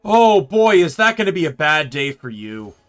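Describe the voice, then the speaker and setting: A man's voice: fairly high pitch, big pitch swings, moderate pace, clear, resonant tone, soft background music. A man streaming a game from his room, chatting casually and rambling, often restarting his sentences. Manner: rambling, self-correcting. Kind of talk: casual gameplay chat. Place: home room.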